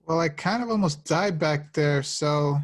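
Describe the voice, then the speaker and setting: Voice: medium pitch, unhurried, close to an everyday voice. An actor playing an awkward, sardonic young slacker hero in a casual, wry table read. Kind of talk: amateur screenplay table read.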